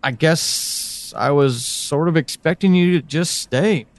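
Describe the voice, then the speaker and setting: A man acting as an anxious, higher-voiced pleading guy. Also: no room sound. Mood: hurt, hesitant. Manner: halting, earnest, with pauses and emphatic swings. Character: anxious, pleading man reaching out to a lost loved one. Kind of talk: dramatic monologue in an audio drama.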